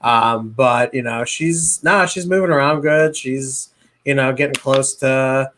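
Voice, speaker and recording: Male co-host, bright edgy tone, medium pitch, informal halting chat full of slang. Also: a little hiss or hum. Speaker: podcast co-host. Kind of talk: casual chat.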